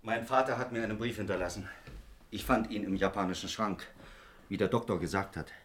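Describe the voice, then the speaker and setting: A man's voice: lowish voice, brisk, slight background noise, natural voice. A man acting an anxious, bereaved young son in a radio drama.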